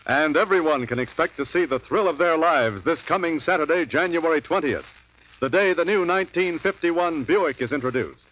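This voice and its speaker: Lively old-time radio announcer man. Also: a little high, normal speed. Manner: upbeat, selling. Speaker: sponsor announcer. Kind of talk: 1950s radio advertisement. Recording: dull old radio broadcast.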